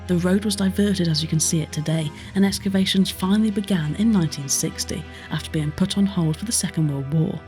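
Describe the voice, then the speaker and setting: A woman's voice: lowish voice, fairly quick, few pauses, a little mellow. A woman with a British accent, giving scripted narration as a history tour presenter. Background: background music.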